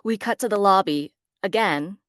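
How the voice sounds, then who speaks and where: A woman's voice: medium pitch, moderate pace, fairly bright tone, no room sound, studio quality. A woman narrating stage directions in a scripted table read.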